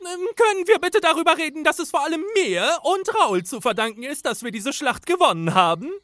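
Dimitri, a woman playing a squeaky boastful gnome. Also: animated, brisk, fairly bright tone, no room sound. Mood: pompous. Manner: pompous and whiny. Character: boastful little male gnome warlock. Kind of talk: amateur parody audio drama.

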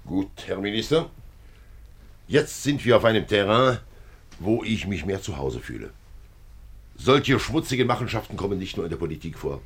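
A very low, husky and animated police commissioner, methodical and sure of himself. The delivery is that of 1950s radio drama. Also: male voice, normal speed, pauses often, heavily acted. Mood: assured, firm. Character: assured, methodical veteran French police commissioner. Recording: 1950s radio play recording.

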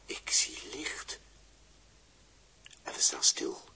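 An actor playing a bossy, impatient, technically minded space explorer in a 1960s radio drama, gruff and explanatory. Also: male voice, drawn-out words, pushed and bright, in a whisper, exaggerated voice. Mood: urgent, tense. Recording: noisy early 1960s radio recording with clicks.

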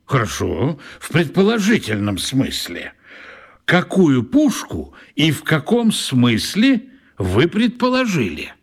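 A man's voice: a little high, wildly swooping pitch, slow, husky, full character voice. An actor playing a courteous, curious man on a train in a children's radio play, asking probing questions. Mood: curious, polite.

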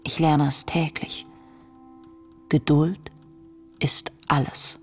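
An actress playing a young woman diarist in a radio drama, reading her entries in a hushed, reflective, tender way. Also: low voice, level tone, slow pace, over music. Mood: hushed, pensive. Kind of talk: intimate diary narration in radio drama.

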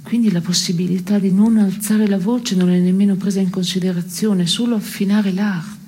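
An actress playing a cynical, pragmatic woman in absurdist theatre dialogue, terse and deadpan. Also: medium pitch, a little fast, slight background noise, natural voice. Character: cynical, dry, pragmatic woman puncturing musings. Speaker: stage actor and playwright.

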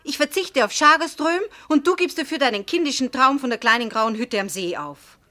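Bright, spirited young fiancée. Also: female voice, sits high, lively intonation, a little fast. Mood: sarcastic. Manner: spirited, tart, emotional. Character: proud, spirited young fiancée, quick to sarcasm. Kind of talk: German radio drama acting.

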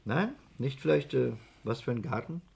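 An actor playing a thoughtful, persistent, quietly skeptical investigator in a 1960s German radio play, speaking in a conversational, probing way. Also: male voice, medium pitch, expressive, moderate pace, vocal fry, husky, exaggerated voice.

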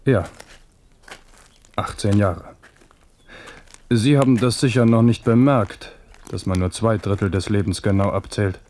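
An actor playing an ageing amorous French king in a German radio play, wry and wistful, with a measured, musing way of talking. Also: lowish voice, a little mellow, natural voice.

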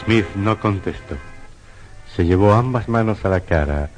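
A man's voice: fairly low pitch, a little slow. A man narrating a scripted horror radio drama in a solemn, dramatic style. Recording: dull lo-fi radio recording. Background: faint music.

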